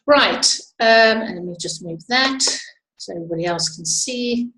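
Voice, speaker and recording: A woman's voice: medium pitch, expressive, moderate pace, fairly bright tone, slight background noise. South African woman in an echoey room. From Zimbabwe and South Africa. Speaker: webinar host and presenter. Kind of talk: informal webinar presentation. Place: indoors at a computer, online webinar. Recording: echoey room on computer microphone.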